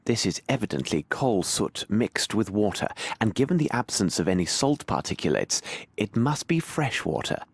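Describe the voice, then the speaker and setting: A man voicing a shrewd, imperious gentleman detective in a video game, with a British accent and a precise, formal, theatrical delivery. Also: fairly low pitch, big pitch swings, moderate pace, slightly rough, exaggerated voice. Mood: analytical, intrigued. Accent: British English. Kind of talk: dramatic video game voice acting.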